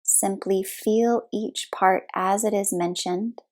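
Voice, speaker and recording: A woman leading a guided relaxation meditation as a yoga nidra instructor, speaking soothingly in short, unhurried phrases with long pauses. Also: mid-range voice, fairly even intonation, a little mellow.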